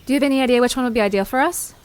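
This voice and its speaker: A woman's voice: on the high side, racing, close to an everyday voice. A woman playing an eager, inquisitive young adventurer in an audio drama. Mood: curious. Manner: eager, questioning. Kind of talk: scripted audio drama acting.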